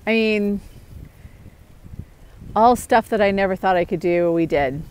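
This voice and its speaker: A woman's voice: medium pitch, a little slow, darkish tone, clear, resonant tone. A middle-aged woman chatting casually and candidly to viewers as a homestead vlogger, outdoors on an earbud mic. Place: outdoors in the woods.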